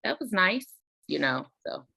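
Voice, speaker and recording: Casting: table read participant, sharp bright tone, dry sound, informal conversational delivery. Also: mid-range voice, big pitch swings, measured pace, vocal fry, breathy edge, no echo. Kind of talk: casual chat. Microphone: video call.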